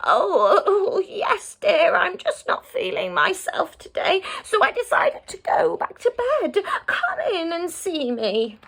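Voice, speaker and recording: A woman voicing a wicked storybook wolf who pretends to be a sweet, poorly old grandma, coaxing in drawn-out exclamations. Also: very high-pitched, sing-song, fairly bright tone, voice pushed up, exaggerated voice. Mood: coaxing, falsely sweet.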